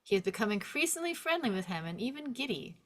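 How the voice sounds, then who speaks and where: A woman's voice: a little high, big pitch swings, quick pace, crowd noise. A woman reading stage directions as a scripted narrator, in a vivid descriptive storytelling manner.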